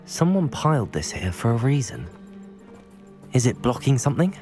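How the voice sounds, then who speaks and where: A man voicing an earnest, measured teenage schoolboy wizard in scripted video game dialogue. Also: medium pitch, sing-song, a little mellow, faint music behind, lightly acted. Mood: measured, curious. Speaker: player character in a video game.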